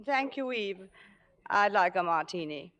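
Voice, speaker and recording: A woman playing a jealous, sharp-tongued middle-aged stage actress, theatrical and sarcastic, in an old-time radio drama. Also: mid-range voice, lively intonation, a little slow, highs cut off. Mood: weary, bitter. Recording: vintage 1940s-50s radio broadcast.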